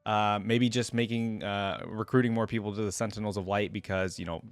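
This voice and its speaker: A man's voice: fairly low pitch, steady tone, fairly quick, clean tone, no echo, soft background music. A young man giving casual, off-the-cuff reaction commentary as a video host, informal and rambling. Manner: informal, rambling, tags points with "right?".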